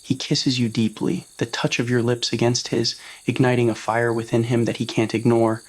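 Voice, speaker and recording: A man's voice: mid-range voice, level tone, normal speed. Man narrating a scripted romantic story. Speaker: narrator of fan fiction audio roleplay.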